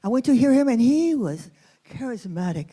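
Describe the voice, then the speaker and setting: Woman, East Los Angeles accent, storyteller, warm mellow tone, expressive, Spanish words mixed into English. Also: fairly high pitch. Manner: expressive, dramatic pauses, sprinkles Spanish words then translates them. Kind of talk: performed personal storytelling.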